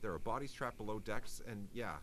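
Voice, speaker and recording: A man's voice: fairly low pitch, brisk, fairly bright tone, a little hiss or hum. A man reading a storybook game aloud as narrator, in a playful, dramatic and wry style.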